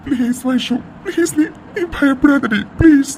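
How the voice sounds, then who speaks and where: A woman playing an anxious young husband in an emotional audio drama, pleading tenderly and haltingly as he reassures his wife. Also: high voice, warm tone, low-quality recording. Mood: pleading, tearful. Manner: pleading, halting, repeats words, tearful. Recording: faint background noise.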